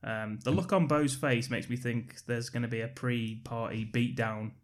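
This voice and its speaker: A man's voice: medium pitch, fairly even intonation, moderate pace. A man co-hosting a film podcast, laid-back and chuckling as he fills in plot details. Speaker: podcast co-host. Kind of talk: casual film review chat.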